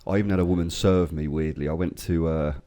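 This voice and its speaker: An English man co-hosting a casual podcast, swearing freely and telling lively stories while getting over a cold. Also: deep voice, quick pace. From England. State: recovering from a cold. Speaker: podcast co-host. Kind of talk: casual chat.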